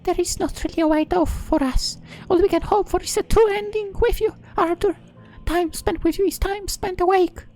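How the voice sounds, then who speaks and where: A woman voicing an earnest high school girl from a visual novel, in an airy anime style. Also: moderate pace, hardly any pauses, a little mellow, faint music behind. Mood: earnest, warning.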